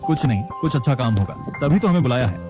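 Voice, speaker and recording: A man voicing a kind young farmer in a cartoon story, speaking in a calm, reassuring way. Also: medium pitch, quick pace, music in the background, natural voice.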